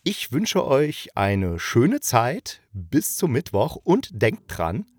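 A man's voice: mid-range voice, huge pitch swings, takes it slowly, breathy edge, dry recording, studio quality. A man hosting a podcast, giving a casual, unscripted review in a rambling, colloquial and enthusiastic way, jumping between asides. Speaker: podcast host reviewing audio dramas.

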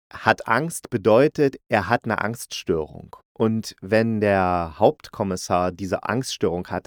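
A man's voice: fairly low pitch, no room sound. Man casually reviewing in a dry close recording. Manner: conversational, colloquial, opinionated. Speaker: podcast host reviewing audio dramas.

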